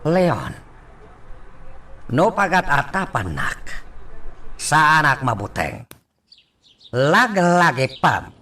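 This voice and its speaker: Slow, scheming businessman. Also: on the high side, big pitch swings, pushed and bright, far from the mic, heavily acted. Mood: smug, menacing. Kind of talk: Ilocano radio drama acting.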